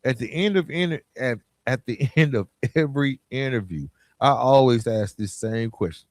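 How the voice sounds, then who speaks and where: Male, middle-aged American podcast host. Mid-range pitch, dry close sound, colloquial and emphatic motivational delivery. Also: lively intonation, normal speed, no echo. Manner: emphatic, colloquial, direct address with rhetorical questions and repetition. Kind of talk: motivational solo podcast talk.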